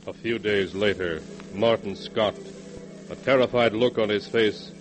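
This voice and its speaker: An actor playing a solemn, ominous narrator of horror tales in 1940s radio style. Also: male voice, on the low side, unhurried, faint music behind, close to an everyday voice, low-quality recording. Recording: vintage 1940s radio recording.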